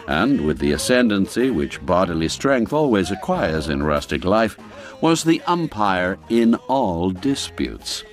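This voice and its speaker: A man narrating a classic literary story in a measured, deliberate and expressive storytelling manner. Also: sits low, no room sound, clean studio sound.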